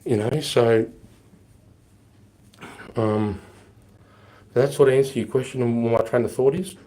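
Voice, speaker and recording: A man's voice: medium pitch, fairly even intonation, unhurried, warm tone, low-quality recording. A man, rambling bluntly and swearing as he answers viewer questions on a live stream, his voice a little off from a flu. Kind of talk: casual live Q&A chat.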